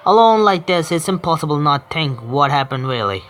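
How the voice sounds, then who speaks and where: A man's voice: on the high side, lively intonation, normal speed, fairly bright tone, a little hiss or hum. A man streaming himself reading a visual novel aloud, often stumbling and correcting himself.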